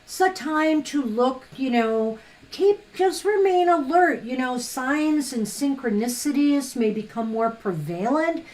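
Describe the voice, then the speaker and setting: Woman tarot reader on a live stream, chatty, talks fast, slightly high voice. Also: expressive, darkish tone, low-quality recording. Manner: chatty, fast-talking, laughs often.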